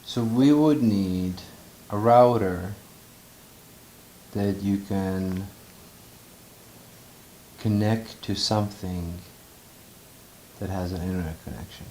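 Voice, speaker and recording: A man's voice: sits low, slow pace, long pauses, warm tone. A man in casual chat who asks questions and works through a technical problem aloud. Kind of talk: casual chat about a tech setup. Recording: echoey room with faint noise.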